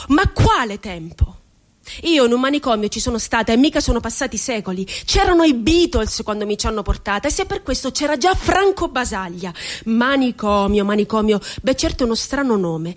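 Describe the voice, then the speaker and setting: An actress playing a wounded, ironic woman poet and former asylum inmate in a theatrical radio monologue, with dramatic, deliberate phrasing. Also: fairly high pitch, close to an everyday voice. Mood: sardonic, pointed. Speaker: stage actress.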